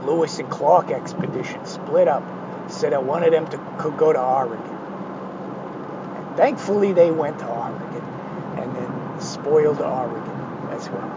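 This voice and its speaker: Hoarse, high-voiced man rambling. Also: a little slow, muffled recording, noisy background. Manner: digressive, hesitant with many fillers, sardonic asides. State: hoarse, losing his voice. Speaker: art instructor. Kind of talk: rambling spoken art lesson.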